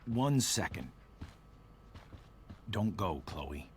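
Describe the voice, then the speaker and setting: Contrite middle-aged father figure, deep voice, hesitant, earnest, video game drama, faint background noise. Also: very animated, exaggerated voice. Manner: halting, hesitant. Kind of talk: naturalistic video game voice acting.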